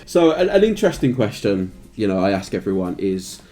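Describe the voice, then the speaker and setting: A man's voice: fairly low pitch, sing-song, brisk, mellow. Upbeat male interview host. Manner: friendly, upbeat, says "man" and "mate" often. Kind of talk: casual interview chat.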